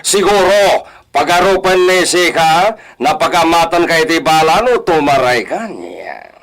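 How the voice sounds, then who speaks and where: A man's voice: fairly high pitch, sing-song, fairly bright tone, heavily acted, poor audio. A man playing a menacing, cruel armed captor in a radio drama, taunting and threatening. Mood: taunting menace. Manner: taunting, threatening, drawn-out delivery.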